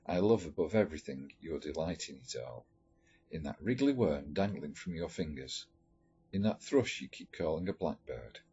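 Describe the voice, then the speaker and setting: A man reading a letter story aloud in a measured, expressive storytelling manner. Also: extremely low pitch, husky. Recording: dull lo-fi recording with music behind. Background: background music.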